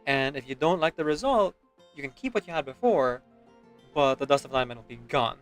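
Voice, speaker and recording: A man's voice: mid-range voice, lively intonation, brisk. A man giving casual, rambling gaming stream commentary, often checking in with "right?" as he explains. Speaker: video game livestreamer. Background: background music.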